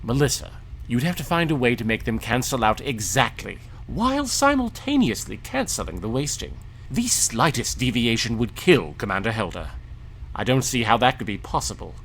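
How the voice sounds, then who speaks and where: A man's voice: mid-range voice, big pitch swings, moderate pace, a bit bright, slight background noise, poor audio. Earnest male engineer officer, played by a man. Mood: skeptical, concerned. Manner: careful, serious. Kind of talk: audio drama acting.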